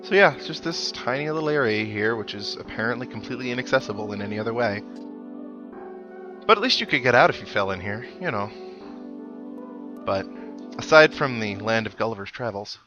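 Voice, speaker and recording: A man with an average-pitched voice commentates on a video game in a casual, conversational way. His delivery is wry, with dry, mock-resigned asides. Game music plays clearly behind him. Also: lively intonation, fairly quick, long pauses, sharp tone, dull audio. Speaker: video game let's play host.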